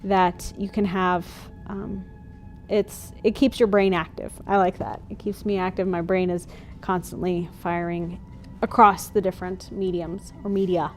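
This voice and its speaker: A woman giving reflective documentary interview talk, hesitant and measured as she explains herself. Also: lowish voice, fairly even intonation, soft background music.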